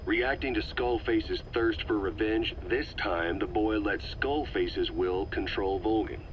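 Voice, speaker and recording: An actor playing a calm, middle-aged male agent who methodically explains a conspiracy to the listener on a cassette tape. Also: fairly low pitch, slow pace, slight background noise. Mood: measured. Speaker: intelligence briefer on a cassette tape. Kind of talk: scripted game voice acting. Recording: slightly muffled cassette tape recording.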